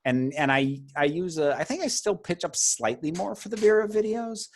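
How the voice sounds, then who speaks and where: A baritone-voiced man, a guest in a casual interview, talking at length in an articulate, chatty way with many "like"s. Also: expressive, normal speed. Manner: articulate and talkative, lots of "like", laughs mid-sentence. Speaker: guest YouTuber.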